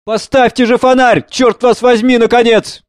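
A man's voice: very high-pitched, little pitch movement, moderate pace, no room sound, studio quality, pitched above the performer's own voice. A man playing an impatient, stubborn driver in a stage drama, barking orders. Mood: angry. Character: gruff, impatient male driver, stubborn and bossy.

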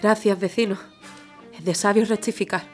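A woman's voice: mid-range voice, fairly even intonation, normal speed, soft background music, close to an everyday voice. A woman playing a spirited, proper young activist in an audio drama.

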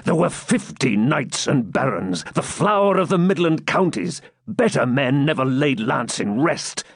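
A man's voice: mid-range voice, sing-song, measured pace, husky, no echo, clean studio sound, voice pushed up, heavily acted. A man voicing a fierce, stubborn dying baron who growls out defiant speeches in an audiobook.

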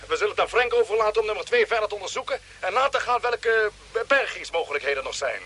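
A man's voice: sits high, animated, fast talker, sharp tone, breathy edge, exaggerated voice. A man playing a resolute expedition commander in an old science fiction radio drama, speaking decisively. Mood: commanding, urgent.

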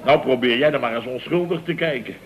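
Actor as a pompous, blustering Dutch boss. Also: male voice, medium pitch, quick pace, muffled recording, lightly acted. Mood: smug, amused. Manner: pompous, stammering repetitions, chuckling, mock indignation. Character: boastful, blustering male building contractor, self-important storyteller. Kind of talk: Dutch radio comedy serial acting.

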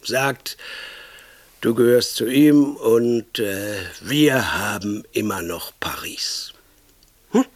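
An actor playing a pompous, crude and impatient film producer in a radio comedy, pitching movie ideas in a bossy, rambling, self-enthused way. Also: male voice, slow, no echo. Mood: scheming, self-assured. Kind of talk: radio comedy monologue, improvised-sounding pitch.

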